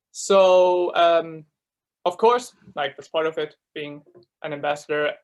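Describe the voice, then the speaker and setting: A young man from Switzerland whose first language is German, giving a casual, filler-heavy talk as a student ambassador over a video call. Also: fairly high pitch. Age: young adult. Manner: chatty, many fillers, quick laughs. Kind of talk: casual presentation to new students.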